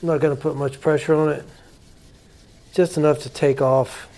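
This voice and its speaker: A man's voice: on the high side, steady tone, normal speed, mellow. A man giving casual, plain-spoken commentary as a hobbyist restorer, thinking aloud while he works on a hands-on repair.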